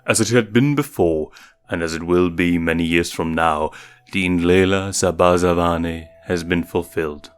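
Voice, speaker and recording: A man voicing a calm, proud lion king and father in a measured, regal manner for a story. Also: deep voice, clear, resonant tone, lightly acted. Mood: solemn, regal. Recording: music behind the voice.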